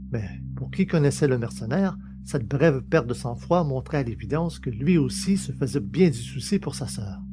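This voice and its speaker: A man's voice: mid-range voice, background noise. A man reading a science-fiction novel as a scripted, dramatic audiobook narration. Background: music.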